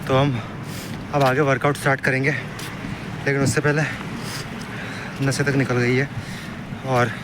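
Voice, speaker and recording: A young man with a hoarse voice and a blocked nose, giving an upbeat pep talk to viewers in a casual fitness vlog. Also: mid-range voice, flat delivery, unhurried, pauses often. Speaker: fitness vlogger. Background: street traffic and wind.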